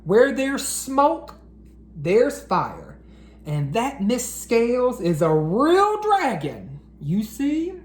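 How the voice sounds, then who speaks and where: A woman's voice: a little high, very animated, very slow, a little mellow, roomy sound, pitched above the performer's own voice, full character voice. A woman putting on a sly schoolboy. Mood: knowing. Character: knowing schoolboy gossip.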